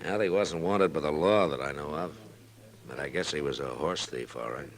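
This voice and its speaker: An actor playing a stern, laconic frontier marshal in a measured 1950s radio western style. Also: male voice, rumbling low. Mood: grave, calm. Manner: measured, understated, firm. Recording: old radio drama, murmuring crowd behind.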